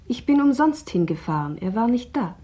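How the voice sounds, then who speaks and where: An actress playing an exhausted young woman in a 1960 German radio drama, answering in quiet, terse lines. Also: on the high side, very animated, moderate pace, slightly rough. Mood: weary. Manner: quiet, terse, weary replies.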